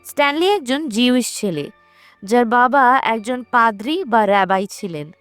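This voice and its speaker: A woman's voice: on the high side, expressive, normal speed, a bit bright, clear, resonant tone, dry recording. A woman narrates a scripted horror film recap in a storytelling style, as a voice-over. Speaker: narrator of a movie recap channel. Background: background music.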